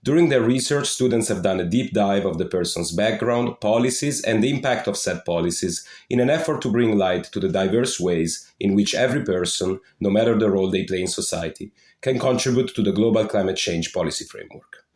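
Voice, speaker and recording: Male podcast host, lowish voice, polite scripted delivery, roomy echo. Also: a little fast, darkish tone. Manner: reads a formal welcome, then asks questions politely. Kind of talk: scripted podcast introduction and interview.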